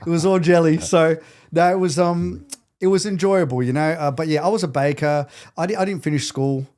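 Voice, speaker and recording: A man's voice: on the high side, a little fast, darkish tone. Candid Australian man in his late forties. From Adelaide, Australia. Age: late 40s. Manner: candid, self-deprecating, jokey. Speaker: podcast guest. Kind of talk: casual conversational interview.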